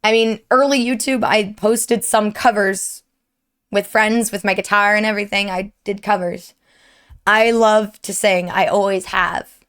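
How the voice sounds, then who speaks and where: A woman's voice: mid-range voice, fairly even intonation, a little slow, fairly bright tone, smooth. A young woman with a sore, strained throat giving an earnest, rambling update to her viewers from her desk at home. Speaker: streamer and YouTuber. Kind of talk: candid personal update to viewers.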